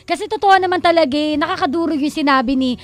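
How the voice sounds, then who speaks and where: A woman's voice: sits high, fast, dry recording. A woman with a Filipino accent co-hosting a radio advice talk, speaking colloquially in an emphatic, admonishing way. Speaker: radio co-host. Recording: music playing behind the voice. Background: background music.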